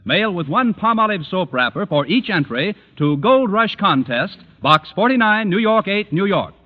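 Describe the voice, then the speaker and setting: High, animated American radio announcer man. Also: normal speed. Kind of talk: 1940s radio announcing, scripted ad read. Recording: reverberant, tinny old radio recording.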